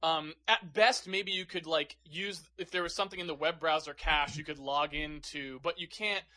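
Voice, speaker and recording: Bright, high-voiced man ranting casually. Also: moderate pace. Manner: profane, animated ranting. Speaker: podcast co-host. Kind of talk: casual chat. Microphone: podcast microphone.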